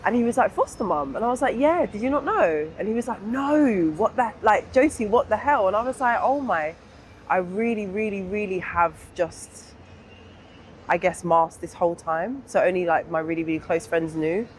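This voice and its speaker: Young woman, southern English accent, medium pitch, candid and reflective interview talk, informal, thoughtful pauses, some background noise. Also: lively intonation. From England. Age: young adult. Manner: reflective, informal, pausing to think. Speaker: interview guest.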